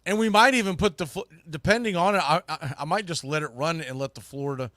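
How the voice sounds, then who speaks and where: A man's voice: a little high, fast talker, bright, forceful tone, no room sound, clean studio sound. A man hosting a sports show, talking to viewers in a loose, informal, rambling way and often correcting himself. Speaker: host of a sports podcast and play-by-play streamer.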